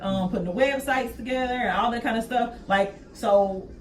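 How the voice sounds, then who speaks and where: A woman's voice: a little high, moderate pace. A woman in casual podcast conversation, speaking emphatically and repeating her points for weight. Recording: echoey room, distant mic, faint noise.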